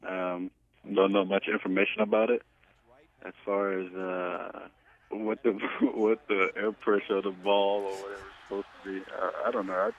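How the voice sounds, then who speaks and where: Over a narrow, tinny telephone line, a man in his twenties talks in a deep, low voice. He is an athlete being interviewed, speaking casually with hesitant phrasing and frequent pauses. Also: level tone. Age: 20s. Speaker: pro football player being interviewed. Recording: tinny, band-limited phone line.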